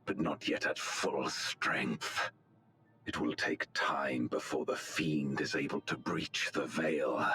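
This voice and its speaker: Gravelly, abyssal-deep brooding villain. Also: male voice, bright, forceful tone, heavily acted. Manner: slow, solemn, portentous. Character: brooding, long-suffering male fantasy villain, grieving. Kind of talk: dramatic video game acting.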